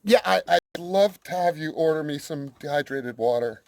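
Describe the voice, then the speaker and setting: Earnest-sounding male prank caller, slightly high voice. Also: lively intonation, normal speed, creaky, a little mellow. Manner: feigned earnestness, playing a clueless customer. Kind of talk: prank phone call, casual chat.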